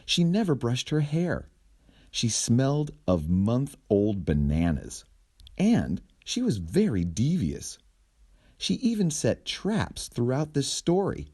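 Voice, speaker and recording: A man narrates a children's storybook in an animated, theatrical way, with pitch that swoops up and down. His pace is unhurried and the tone is soft-edged and mellow, with a slightly husky grain. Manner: theatrical, deliberate phrasing. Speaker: storyteller reading a picture book aloud.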